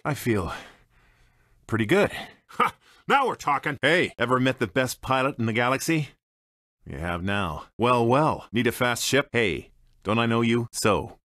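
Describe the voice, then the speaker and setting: A male actor plays a roguish American space smuggler for a video game. He is a man of mid-range pitch, cocky and casual, always ready with a wisecrack. The sound is dry and close, with no room on it. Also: fairly bright tone, no room sound, natural voice. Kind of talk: video game character voice clips.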